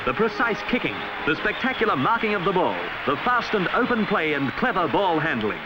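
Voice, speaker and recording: A man with an Australian accent reading a scripted 1960s instructional film narration in a formal, measured, emphatic way, on a vintage film soundtrack. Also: high voice, very animated, over loud music, low-quality recording.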